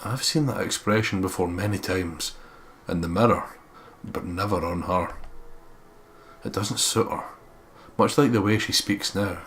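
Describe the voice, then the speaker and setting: A man's voice: low-pitched, measured pace, a bit bright. A man narrating a visual novel aloud as a let's player, reading expressively. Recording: faint background noise.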